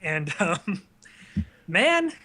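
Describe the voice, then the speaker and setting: A man's voice: sits high, big pitch swings, very slow, sharp tone. A young man chatting casually as a guest in an interview. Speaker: guest, video creator. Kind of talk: casual chat.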